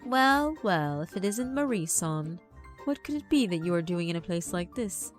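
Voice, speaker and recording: A woman voicing a large, polite old male headmaster yokai with a drawn-out, avuncular manner. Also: on the low side, sing-song, faint music behind. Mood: genial.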